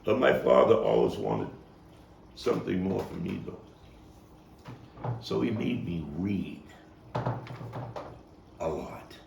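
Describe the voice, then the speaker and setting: A man performing a theatrical monologue as a street-wise, bookish Brooklyn man recalling a hard childhood, halting and reflective with dramatic pauses. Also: sits low, big pitch swings, creaky, a little mellow, exaggerated voice. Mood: reflective, wry. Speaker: actor-playwright performing his monologue. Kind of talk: theatrical monologue at a table read. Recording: roomy, distant mic with faint noise.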